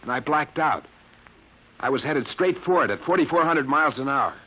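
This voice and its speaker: An actor in an old-time radio drama playing a shaken, earnest young test pilot, halting and insistent. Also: male voice, a little high, lively intonation, breathy edge. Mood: shaken, dazed. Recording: muffled old radio broadcast recording.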